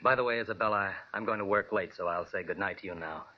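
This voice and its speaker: An actor playing a courteous, guarded artist in a 1940s radio drama, polite and a little hesitant. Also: male voice, low-pitched, fast. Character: courteous, guarded male artist husband, amateur photographer. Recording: muffled, faintly noisy old radio recording.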